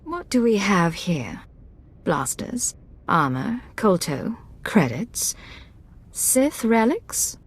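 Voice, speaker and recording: An actress playing a self-assured secret agent in a video game, with a controlled, clipped, dry delivery. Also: female voice, medium pitch, very animated, slow, husky, full character voice. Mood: cool, probing. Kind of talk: video game spy acting.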